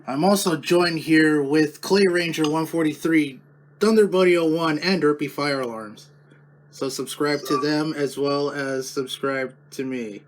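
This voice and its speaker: A man hosting a casual homemade video, his voice on the high side and excitable in delivery. The recording has some background noise with sirens around him. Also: a little slow. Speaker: video host. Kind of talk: casual vlog chat. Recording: noisy room with loud siren tones.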